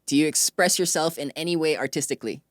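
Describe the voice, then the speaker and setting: A woman's voice: low-pitched, fast, no echo, clean studio sound. A woman hosting an interview in a casual, informal way, often affirming with short repeated acknowledgements. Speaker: interview host.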